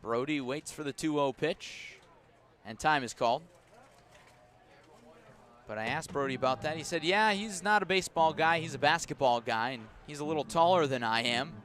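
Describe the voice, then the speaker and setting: Higher-voiced man calling baseball play-by-play. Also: moderate pace. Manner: descriptive, storytelling between pitches, rising to excited calls on big plays. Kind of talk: live radio baseball commentary.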